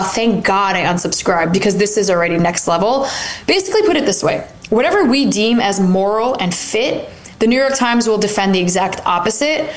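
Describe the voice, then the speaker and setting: A woman in her twenties, co-hosting a political talk show, chatty and opinionated, with lots of filler "like". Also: medium pitch. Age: 20s. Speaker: co-host. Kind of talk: casual political commentary.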